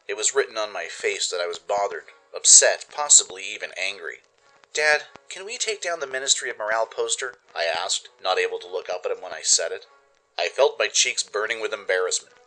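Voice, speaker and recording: A man giving an expressive, theatrical scripted narration as the narrator of a radio play. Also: low voice, a little fast, soft background music, poor audio.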